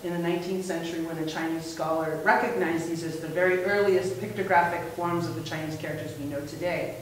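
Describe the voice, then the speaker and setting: Relaxed man in an echoey room. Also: a little high, quick pace. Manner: relaxed, fluent with frequent ums. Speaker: museum education coordinator. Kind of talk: casual gallery presentation. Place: indoor museum room. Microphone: far camera mic. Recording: echoey room, mic far off, faint hiss.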